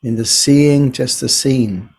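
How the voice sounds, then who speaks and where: Male meditation teacher, low-key body scan guide; very slow pace, gentle delivery, leaves space between phrases. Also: husky. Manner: gentle, unhurried, pausing between short phrases.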